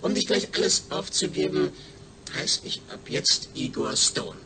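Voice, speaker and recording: Gravelly, animated astronaut. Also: male voice, on the high side, measured pace, noisy background, exaggerated voice. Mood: dry, amused. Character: dryly humorous male astronaut before surgery. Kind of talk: German radio play acting.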